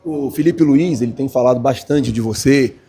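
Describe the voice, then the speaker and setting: A man's voice: medium pitch, normal speed, warm tone, breathy edge. A man working as a sports reporter, asking long, wordy questions in a post-match interview.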